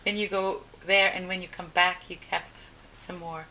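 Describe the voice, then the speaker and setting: Bright woman interviewer. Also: on the low side, flat delivery, moderate pace, a little hiss or hum, low-quality recording. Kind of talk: casual conversational interview.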